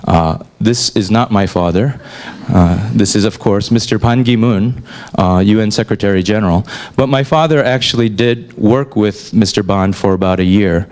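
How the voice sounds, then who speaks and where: A man in his forties with a slightly low voice gives a lecture to students. He raised his voice in North America and keeps a relaxed, conversational tone, often pausing on "uh" between phrases. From Korea, raised in North America. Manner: relaxed and conversational, with frequent "uh" fillers. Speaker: newspaper chief editor giving a talk. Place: lecture hall.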